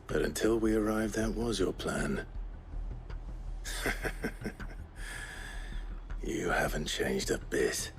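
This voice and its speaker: An actor playing a solemn, dutiful young nobleman warrior in a video game, with a low, breathy delivery. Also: expressive, takes it slowly, pauses often, slight background noise, full character voice. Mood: calm, familiar. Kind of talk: dramatic video game acting.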